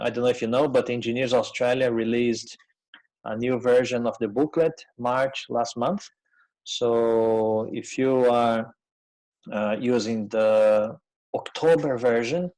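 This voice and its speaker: A man's voice: mid-range voice, a little mellow, poor audio. Brazilian-accented man presenting informally. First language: Portuguese. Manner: hesitant, conversational, pausing often. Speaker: webinar presenter.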